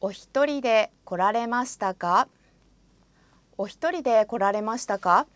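A woman's voice: on the high side, no echo. Woman modeling phrases, said twice. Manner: careful, deliberate pronunciation. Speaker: language course speaker modeling phrases. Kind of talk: slow, repeated example phrases. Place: studio.

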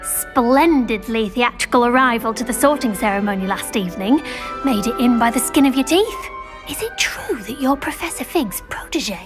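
A young woman playing an earnest, easily frustrated teenage witch with a British accent, crisp and emphatic. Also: on the high side, lively intonation, normal speed, over music, lightly acted. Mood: polite, eager. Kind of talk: scripted game dialogue.

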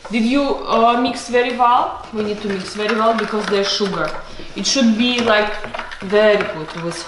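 A woman's voice: mid-range voice, normal speed, noisy background. Woman teaching baking in an echoey kitchen. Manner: patient, instructive, non-native English with Russian words. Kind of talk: home cooking lesson with her child. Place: home kitchen table, indoors. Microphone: camera across the table. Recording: echoey kitchen with clattering dishes.

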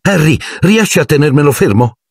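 A man voicing an excitable young schoolboy fan, breathless and gushing. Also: on the high side, brisk, breathy edge, no room sound, studio quality, voice pushed up. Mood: excited.

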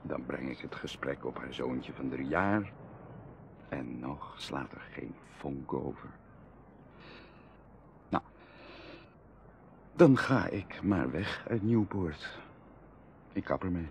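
An actor playing a proud, hard-up aristocratic bachelor in a radio drama, confiding and passionate, with emotional, dramatic delivery shifting from hushed to outbursts. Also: male voice, very deep voice, expressive, takes it slowly, gravelly, long pauses, a little hiss or hum, full character voice. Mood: resigned. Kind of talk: Dutch radio drama acting.

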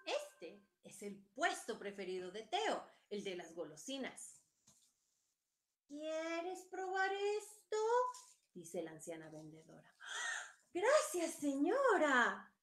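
Female storybook reader, highish pitch, very unhurried, recorded in a roomy space. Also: wildly swooping pitch, fairly bright tone. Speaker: elementary school teacher reading aloud. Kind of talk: animated children's storybook reading. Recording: echoey room.

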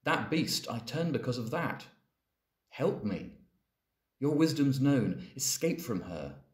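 A man's voice: medium pitch, very animated, takes it slowly. A man reading poetry aloud as a dramatic recitation. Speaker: poetry reader. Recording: echoey, reverberant room.

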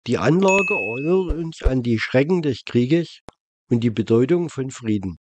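A man reading a scripted, factual documentary narration in a measured way. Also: mid-range voice, lively intonation, dry recording, muffled recording. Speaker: narrator of a travel documentary vlog.

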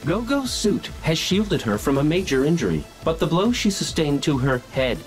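A man playing a gentle, literal-minded healthcare robot in a video game, speaking in precise, formal clinical phrases. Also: a little high, very animated, normal speed, faint music behind, rough recording. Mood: calm, clinical. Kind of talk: video game cutscene acting.

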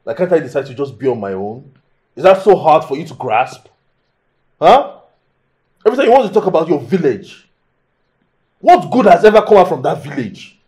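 An actor playing an aggrieved, entitled husband in a film drama, with a Nigerian accent and an indignant, repetitive manner full of rhetorical questions. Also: male voice, mid-range voice, animated, fast talker, few pauses, warm tone, lightly acted. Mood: angry, scornful. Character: aggrieved, hot-tempered, entitled husband bound to tradition. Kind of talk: naturalistic film drama acting.

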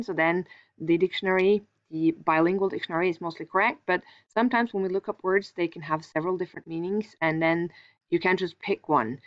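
A woman whose first language is Norwegian walks through a lesson in a calm, explanatory way, pausing with small fillers as she thinks aloud. Her voice sits on the low side. The recording sounds dull and a little lo-fi. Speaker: teacher.

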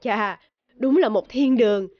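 A woman voicing a kindly fairy-godmother judge in a cartoon, speaking in a measured, gently questioning way. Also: on the high side, no room sound, dull audio, close to an everyday voice. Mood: gently curious. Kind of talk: animated cartoon dialogue.

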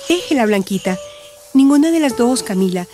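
A woman playing a calm, supportive counsellor in a radio drama, gentle, reassuring and explanatory. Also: on the high side, moderate pace, over music, lightly acted.

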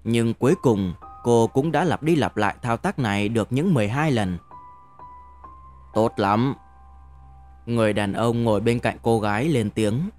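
A man narrating a novel as an audiobook in a measured, deliberate storytelling manner. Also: mid-range voice, lively intonation, darkish tone, soft background music.

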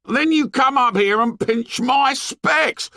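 A man's voice: high voice, lively intonation, slow pace, gravelly, fairly bright tone, no echo, clean studio sound, pitched above the performer's own voice, full character voice. A man voicing an indignant, anxious schoolboy in an audiobook, scolding in whiny, ungrammatical speech. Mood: aggrieved protest. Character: indignant, whining schoolboy, anxious bespectacled outsider.

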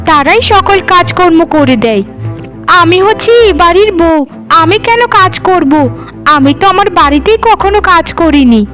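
A woman's voice: extremely high pitch, lively intonation, moderate pace, a bit bright, faint music behind. A woman voicing a haughty, bossy, pampered young bride in a cartoon, with an imperious, complaining way of talking. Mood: indignant. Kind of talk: animated cartoon dialogue.

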